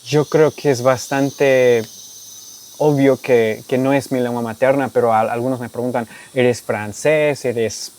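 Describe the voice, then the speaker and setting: A young American man from Texas, a native English speaker, speaking Spanish with an American accent. He answers viewer questions in a relaxed storytelling way, with restarts and soft laughs. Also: medium pitch, measured pace. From United States (Texas). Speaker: language-learning YouTuber. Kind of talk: casual Q&A monologue to camera.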